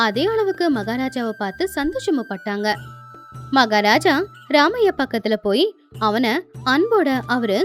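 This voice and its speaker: Lively high-voiced woman storyteller. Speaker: story narrator. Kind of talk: expressive scripted moral storytelling. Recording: music behind the voice.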